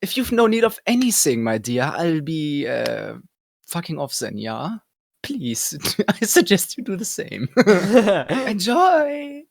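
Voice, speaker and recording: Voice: medium pitch, sing-song, takes it slowly, gravelly, no room sound, heavily acted. An amateur actor voicing a sleazy, crude, over-polite male bellboy in a comedic game dub. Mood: oily, rude.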